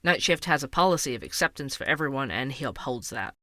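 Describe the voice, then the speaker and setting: A man playing an empathetic, thoughtful investigative podcast host, speaking in an earnest, measured way. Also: medium pitch, lively intonation, sharp tone, no room sound. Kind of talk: fiction podcast interview and narration.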